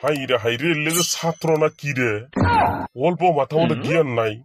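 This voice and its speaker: A stern cartoon village schoolmaster, acted by a man, speaks. He hectors his pupils in exasperation and drops English into his Bengali. The recording is clearly noisy, with clicks. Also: on the high side, a little fast, lightly acted. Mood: exasperated scolding. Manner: scolding, hectoring, mixing English words into Bengali. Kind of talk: comedy cartoon dub.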